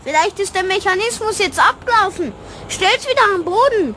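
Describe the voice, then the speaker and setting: A young performer playing an excitable, hesitant schoolboy in a children's radio play, exclaiming in a Bavarian accent. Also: very high-pitched, moderate pace, a little hiss or hum, heavily acted. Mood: startled, excited. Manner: exclaiming, boyish.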